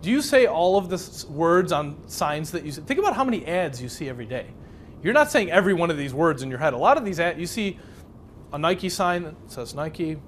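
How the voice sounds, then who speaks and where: Man, native Polish speaker, from Chicago, workshop instructor, fairly high voice, faint background noise. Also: animated, brisk. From Chicago, USA. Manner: conversational, asks the audience many questions. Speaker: speed reading instructor. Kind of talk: live workshop lecture.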